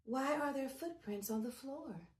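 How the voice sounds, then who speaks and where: A woman voicing a gentle mother bear with a soft, low voice. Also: expressive, a little slow, distant mic. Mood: surprised. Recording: roomy echo.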